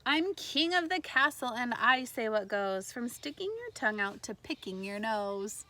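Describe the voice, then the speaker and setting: A woman's voice: a little high, animated, moderate pace, sharp tone. A woman teacher leading a read-aloud lesson for young children, explaining things simply and prompting her listeners. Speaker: elementary school teacher.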